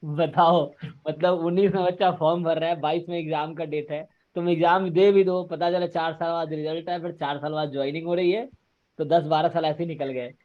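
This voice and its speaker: A man from Bihar interviewing a former student on a video call, talking in a lively, explaining way and mixing in English words. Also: on the high side, fairly quick, lo-fi sound. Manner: animated, explains in a mix of Hindi and English. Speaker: interviewer and coaching teacher. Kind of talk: casual conversational interview.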